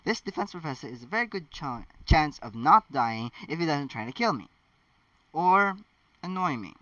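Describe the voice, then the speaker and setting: A man's voice: on the high side, very animated, normal speed, pushed and bright, no room sound. A man voicing a flippant, mock-innocent teenage schoolboy in an amateur story reading. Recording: dull lo-fi, distant mic.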